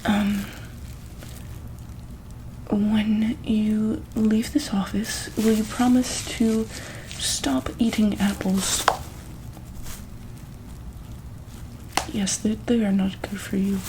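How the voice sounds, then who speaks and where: A woman playing a calm, caring monster-hospital doctor in an ASMR roleplay, speaking softly and hesitantly in a breathy whisper. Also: mid-range voice, slow, background noise, lightly acted. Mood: calm, attentive. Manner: hesitant, gentle, soft-spoken.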